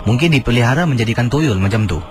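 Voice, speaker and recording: A man's voice: mid-range voice, lively intonation, fast talker. A man hosting a late-night radio phone-in, prompting callers with short questions and murmurs. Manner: brief prompts and murmured acknowledgements. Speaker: radio host. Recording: radio broadcast with music.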